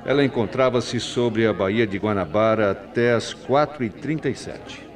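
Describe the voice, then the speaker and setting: A middle-aged Brazilian man playing a composed, authoritative veteran airline captain in a radio drama, speaking in a measured, formal way. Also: fairly low pitch, some chatter behind, natural voice. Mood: earnest recounting. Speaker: radio actor. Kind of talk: 1990s radio drama acting.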